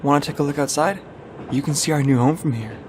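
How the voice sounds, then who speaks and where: A man voicing a young pony, a gentle, protective big brother who speaks in a soft, reassuring way in a story. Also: fairly high pitch, fast, a little hiss or hum, natural voice. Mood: tender. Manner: soft, encouraging, caring.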